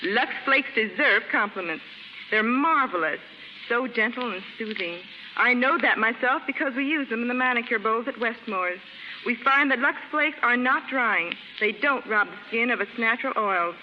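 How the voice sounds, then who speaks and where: A woman's voice: a little high, lively intonation, moderate pace. Female beauty expert, precise rehearsed radio testimonial, muffled 1930s sound with long reverb. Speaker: beauty salon manicurist giving testimonial. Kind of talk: scripted 1930s radio advertising interview. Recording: muffled, echoing 1930s radio broadcast, faint hiss.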